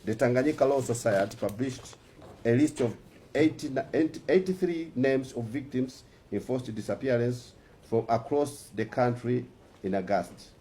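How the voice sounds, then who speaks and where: A man with a Tanzanian accent reads a prepared statement aloud in a formal way, sometimes halting over words. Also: mid-range voice, mellow, slight background noise. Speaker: musician and activist.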